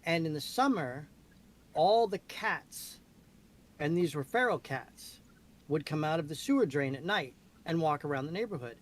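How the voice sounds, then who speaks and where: A man's voice: on the high side, expressive, moderate pace. A man telling anecdotes in casual conversation, stressing his points emphatically.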